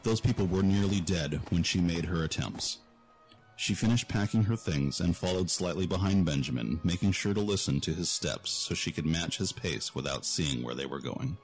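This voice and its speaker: A man's voice: sits low, animated, normal speed, gravelly, faint music behind, poor audio. A man giving scripted narration for a fantasy audio drama.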